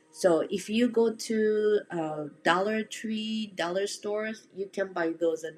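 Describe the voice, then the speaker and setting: A woman with a Japanese accent coaches listeners in a casual, direct teaching style. Her pitch sits a little low and her pace is slow. She checks in with the listener plainly and encouragingly, over faint background music. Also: a little mellow. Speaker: home organizing coach. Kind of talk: instructional presentation, casual and direct.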